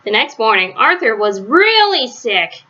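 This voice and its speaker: Woman, children's story read-aloud, higher pitch, very bright tone, slightly lo-fi sound. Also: big pitch swings, measured pace, faint music behind. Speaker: storybook reader.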